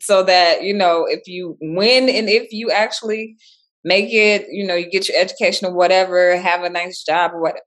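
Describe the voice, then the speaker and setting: A woman's voice: lowish voice, moderate pace, a bit bright. A woman from Chicago, a school principal in her thirties or forties, chatting cheerfully in an interview and laughing easily. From Chicago, South Side. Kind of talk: casual interview chat.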